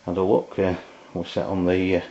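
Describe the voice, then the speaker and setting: Low-voiced man, dull lo-fi sound. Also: completely flat delivery, warm tone. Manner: halting, hesitant delivery. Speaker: product reviewer. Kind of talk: casual unscripted review. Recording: dull lo-fi amateur video sound.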